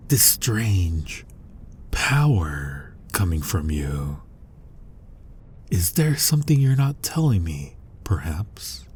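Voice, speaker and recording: A man acting as a menacing, centuries-old vampire hunter in an intimate ASMR roleplay, husky and breathy, commanding and often close to a whisper. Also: sits low, a little mellow, a little hiss or hum, exaggerated voice. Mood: intrigued, suspicious. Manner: commanding, interrogating, taunting with slow pauses. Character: centuries-old male vampire hunter, menacing, dominant, suspicious, inhumanly restrained. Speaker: voice actor in an audio roleplay.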